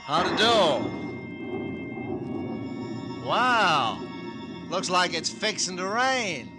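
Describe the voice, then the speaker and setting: An actor playing a folksy, hesitant real estate agent in a 1940s radio drama. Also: male voice, high voice, big pitch swings, very slow, over music, exaggerated voice. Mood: casual, folksy.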